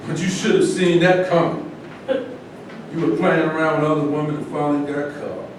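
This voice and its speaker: Stage reading, male actor as chiding, matter-of-fact former fighter, slightly high pitch, very echoey. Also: fairly even intonation, normal speed, natural voice. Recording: distant echoey stage recording, rumbling noise.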